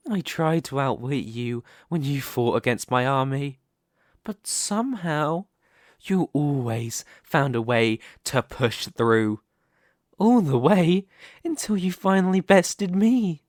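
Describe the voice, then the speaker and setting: A man playing a smug, possessive demon king in an ASMR roleplay, speaking slowly and deliberately with a teasing, condescending manner. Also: on the high side, lively intonation, no echo, clean studio sound. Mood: taunting, patient. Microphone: close studio microphone, dry.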